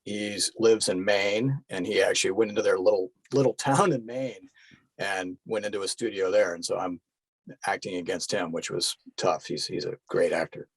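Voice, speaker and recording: A man speaking as an actor-director guest in a casual interview, halting and repeating words as he goes. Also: deep voice, steady tone, slightly rough, dry recording, low-quality recording.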